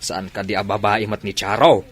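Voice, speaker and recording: A man's voice: fairly low pitch, little pitch movement, moderate pace, close to an everyday voice. A man playing a teasing, reasoning village uncle with an Ilocano accent in a comedy radio drama. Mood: amused disbelief.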